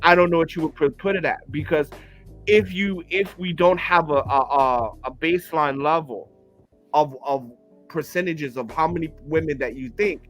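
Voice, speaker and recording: A man's voice: on the high side, moderate pace, fairly bright tone, faint music behind. A man in his forties debating on a livestream panel, pressing his point insistently and stumbling over repeated words. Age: 40s. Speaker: panel guest.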